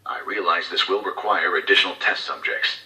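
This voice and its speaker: A man's voice: low voice, fairly even intonation, a little fast, sharp tone, reverberant, recorded from a distance, rough recording. An actor playing a cold, scheming male corporate executive who gives covert orders in formal, clipped, authoritative speech for a game audio log. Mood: businesslike, menacing.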